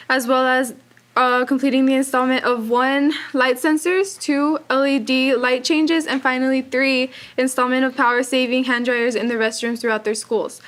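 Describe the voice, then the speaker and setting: A teenage girl from El Paso, Texas, giving a rehearsed student presentation and listing points in a measured way. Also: high voice, fairly bright tone. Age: teens.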